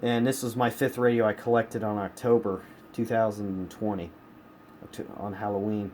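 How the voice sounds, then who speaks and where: A man's voice: on the low side, little pitch movement, moderate pace, a little mellow. A man from the United States giving a casual, unscripted review as a collector, often repeating himself for emphasis. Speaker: radio collector presenting a collection. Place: indoors in a home room. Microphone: handheld camera, speaker behind it. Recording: clear background room noise.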